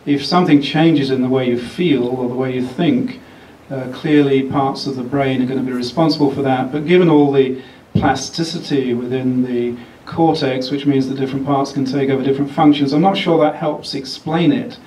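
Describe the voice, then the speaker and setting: An older man giving a public lecture, speaking in a measured, explanatory way with frequent pauses. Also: mid-range voice, steady tone, a little mellow, slight background noise. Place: large lecture theatre. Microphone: podium mic, then handheld. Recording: echoey hall picked up from a distance.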